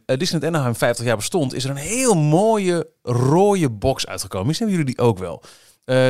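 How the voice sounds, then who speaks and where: A man's voice: fairly high pitch, dry recording, clean studio sound. A man chatting casually and enthusiastically as a podcast co-host.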